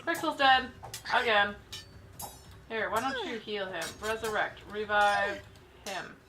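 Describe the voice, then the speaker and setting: A woman's voice: mid-range voice, slow. A woman hosting a gaming video with casual commentary, bantering with the characters in an exasperated way. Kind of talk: casual let's play commentary.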